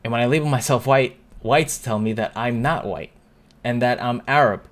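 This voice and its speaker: A young man speaks straight to his camera in a mid-pitched, everyday voice. He sounds upset but earnest, and he stumbles and restarts his sentences as he works through his point. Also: moderate pace. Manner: earnest, stumbling, restarts and trips over words. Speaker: YouTuber. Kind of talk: talking-to-camera opinion vlog.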